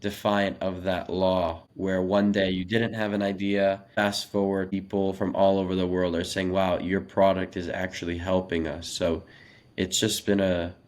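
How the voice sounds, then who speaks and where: A man's voice: low-pitched, level tone, moderate pace. A 21-year-old American man, a native Russian speaker, giving earnest, articulate answers as a podcast guest in a conversational interview. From Los Angeles, United States. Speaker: podcast guest, young startup founder.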